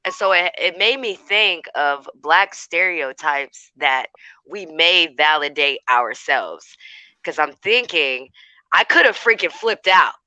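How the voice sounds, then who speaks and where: A man's voice: on the high side, takes it slowly, clean tone, no room sound, poor audio. A man in casual chat who tells stories with energy and acts out what people said. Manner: animated storyteller, acts out quotes. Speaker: co-host.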